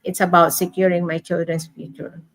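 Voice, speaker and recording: A woman's voice: lowish voice, little pitch movement, normal speed. A woman, a mentor and mother, giving finance advice in an online group talk, checking in with "okay?" and restarting phrases. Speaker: mentor and teacher sharing finance tips.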